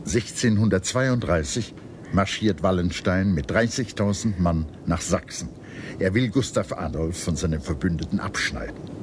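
A man reading scripted historical documentary narration in a measured, serious storytelling manner. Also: low voice, background noise.

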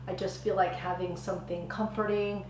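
A middle-aged woman hosting a home cooking channel, a bit hoarse from a cold, explaining a recipe step by step in a friendly, chatty way. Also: on the low side, flat delivery, normal speed, a little mellow, echoey room, a little hiss or hum, rough recording. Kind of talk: casual cooking demonstration. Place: home kitchen, indoors.

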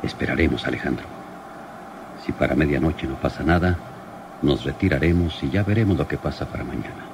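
A very deep male voice as a shrewd, worried police lieutenant in a radio serial. He speaks deliberately and with authority, on a noisy old broadcast recording. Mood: grave, pondering. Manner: deliberate, questioning, commanding.

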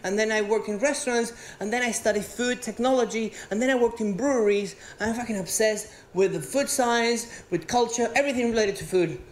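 Man, stand-up comic, animated and profane, echoey theater. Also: high-pitched, a little fast, hardly any pauses. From Venezuela. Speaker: stand-up comedian. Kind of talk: stand-up routine. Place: theater stage. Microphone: handheld mic.

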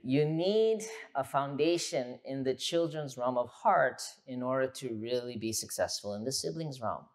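A man in his thirties, a pastor giving a conversational, animated sermon in a church hall on a lapel mic. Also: mid-range voice. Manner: animated, gesturing, conversational teaching.

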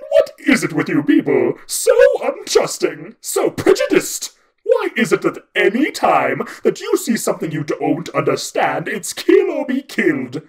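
A man voicing a huge, petulant, tick-like male Dark God who acts like an internet troll, loud, whiny and theatrical. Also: high voice, wildly swooping pitch, measured pace, voice pushed up, exaggerated voice. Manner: loud, whiny, theatrical, touchy about his hair. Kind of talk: storytelling with voiced characters.

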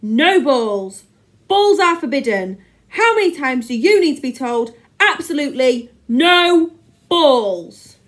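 A woman's voice: extremely high pitch, big pitch swings, drawn-out words, sharp tone, distant mic, voice pushed up, full character voice. A woman voicing a pompous, easily enraged male schoolteacher who bellows and splutters, repeating himself as he unravels in a children's story. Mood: exasperated, frantic.

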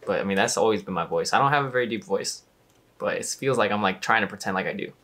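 A man's voice: lowish voice, fast talker, bright, forceful tone. A young man casually reacting to his own old video, talking in a laid-back, slangy way into a headset mic in a small bedroom. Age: young adult. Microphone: gaming headset mic.

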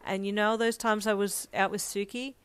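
A woman voicing a shy, earnest young man in a romance story, soft-spoken and hesitant, apologetic as he speaks. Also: mid-range voice, fairly even intonation, brisk, clear, resonant tone, dry recording, close to an everyday voice.

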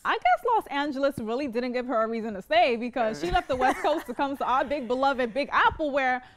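Young female co-host, upbeat and teasing, bright higher voice, reads intros off a card, lapel mic. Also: animated, a little fast, background noise. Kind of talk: reading a guest introduction, then studio chat. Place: TV studio.

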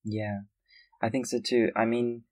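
A man's voice: mid-range voice, flat delivery, unhurried, a little mellow, dry recording. A man from Austria hosting a conversational podcast interview in an earnest, tentative manner. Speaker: podcast host.

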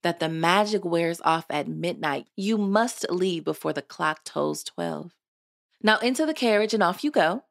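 A woman voicing a tiny, twittering fairy godmother in a storybook, kindly and bossy, with a brisk, affectionate way of talking. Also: on the low side, expressive, vocal fry. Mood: kindly, instructing. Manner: brisk, affectionate, chanting rhymed spells.

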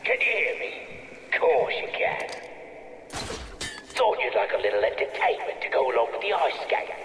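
A gleeful, sadistic mob boss in a video game. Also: male voice, sits high, expressive, moderate pace, fairly bright tone. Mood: gloating. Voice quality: gleeful, taunting, theatrical. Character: sadistic male mob boss taunting his captive. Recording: echoey game audio with noise.

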